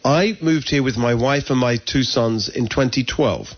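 A man's voice: medium pitch, highs cut off. A middle-aged man with an English accent, speaking earnestly in an interview and often restarting his sentences. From England. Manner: earnest, talks in quick restarts and self-corrections. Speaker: political candidate guest. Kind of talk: conversational interview.

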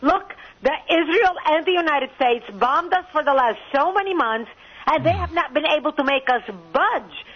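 A woman's voice: sits high, lively intonation, normal speed. A woman from Lebanon, a commentator arguing emphatically in a radio interview over a phone line. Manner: emphatic, argumentative, rising to outbursts. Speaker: political commentator guest.

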